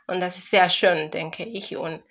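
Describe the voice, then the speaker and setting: A woman's voice: on the low side, steady tone, measured pace, a bit bright. A woman from Argentina, a native Spanish speaker with an Argentine accent, talking personally and in a heartfelt way in an interview. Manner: heartfelt, non-native phrasing. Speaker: activist interviewee.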